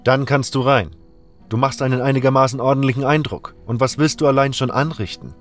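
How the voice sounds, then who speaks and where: A man's voice: medium pitch, steady tone, brisk, pitched above the performer's own voice, close to an everyday voice. A man voicing a stern, suspicious gate guard in a fantasy audio drama, blunt and challenging, with music behind. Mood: stern, warning.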